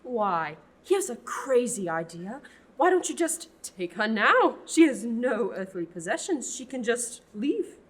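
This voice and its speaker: A teenage girl plays a fussy mother superior who sighs, frets and dramatizes every line, her voice rising and falling in lively sweeps. A comic nun in a homemade radio drama. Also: fairly high pitch, measured pace, slightly rough, full character voice. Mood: eager. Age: teens. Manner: sighing and theatrical. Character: exasperated, fussy middle-aged abbess nun. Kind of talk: student radio play.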